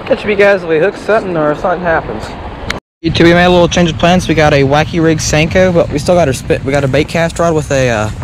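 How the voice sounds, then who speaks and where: A man's voice: on the high side, fast talker, noisy background. A man chatting casually and folksily for an outdoor fishing vlog, half to himself, recorded on a body-worn action camera. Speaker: angler vlogger. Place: outdoors on a grassy riverbank.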